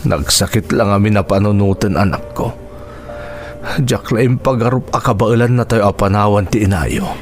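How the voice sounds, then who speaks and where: A man's voice: on the low side, moderate pace, faint music behind, close to an everyday voice. A man acting a weary, sorrowful poor father in a Filipino radio drama, plaintive, with a Filipino accent. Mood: weary grief.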